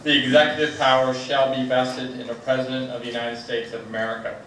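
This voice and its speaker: A man's mid-pitched voice reads a legal document formally to a gathering. It is heard from far off in a very echoey space. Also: flat delivery, a little fast, a bit bright. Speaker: invited guest reader, state attorney. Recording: echoey event, distant mic, faint noise.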